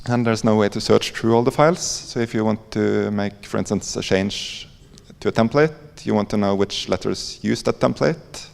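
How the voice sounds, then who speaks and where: Low, creaky Norwegian man in an echoey hall. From Norway. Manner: hesitant, halting delivery with frequent pauses. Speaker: conference presenter, library coder. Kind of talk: informal conference presentation. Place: conference hall. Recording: echoey hall with long reverb.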